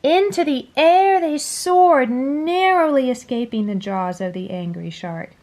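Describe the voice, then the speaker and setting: A woman reading a children's story aloud in a dramatic storytelling style, dropping to a hush at phrase endings. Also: high voice, animated, unhurried. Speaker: storybook reader. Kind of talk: expressive children's read-aloud narration.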